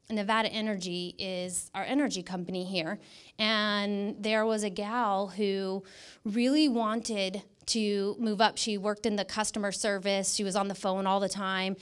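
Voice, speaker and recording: An American woman in middle age addresses an event from an indoor stage, holding a microphone. Her voice sits at an average pitch. She presents in an emphatic, encouraging public-speaking style, repeating words to drive them home. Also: smooth. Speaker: guest speaker, image consultant. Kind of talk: motivational public talk. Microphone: handheld microphone.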